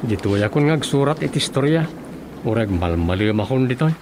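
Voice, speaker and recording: A man's voice: mid-range voice, expressive, fairly quick, darkish tone, close to an everyday voice. A man with an Ilocano accent playing an easygoing, grumbling village husband in a radio comedy drama, on a noisy recording. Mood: uneasy. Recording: noisy recording with background ambience.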